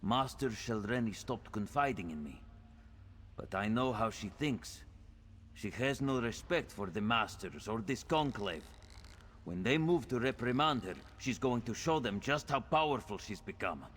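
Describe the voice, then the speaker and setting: A man's voice: medium pitch, animated, measured pace, a bit bright, a little hiss or hum. A man voicing a grave informant in a fantasy video game. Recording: reverberant space with faint ambience.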